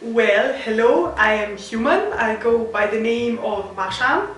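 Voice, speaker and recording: A young woman from Slovenia answers in a dry, joking way, her slightly high voice sounding distant and very roomy. Soft music lies beneath. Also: unhurried. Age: young adult. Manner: deadpan, self-mocking humor. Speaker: volunteer.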